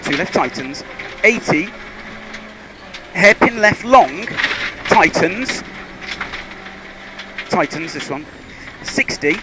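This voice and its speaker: High-pitched male rally co-driver calling pace notes. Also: lively intonation, takes it slowly, pauses often. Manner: clipped, urgent calls in short bursts. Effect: car intercom. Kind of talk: reading pace notes during a rally stage. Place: inside a rally car on a forest stage. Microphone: helmet intercom headset. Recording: car intercom with loud engine and vehicle noise.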